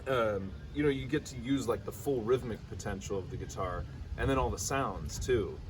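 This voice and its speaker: Guitarist guy from detroit, lowish voice, kinda far off the mic, street noise. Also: moderate pace. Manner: loose and enthusiastic, lots of "you know" and "like". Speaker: guitarist, interview guest. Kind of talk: casual interview chat.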